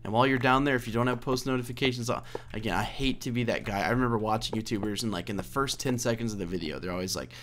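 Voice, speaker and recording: A man's voice: mid-range voice, rapid-fire, a bit bright, a little hiss or hum. Man casually rambling through a game commentary. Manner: hesitant, self-correcting thinking aloud. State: slightly hoarse voice. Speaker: video game let's play host.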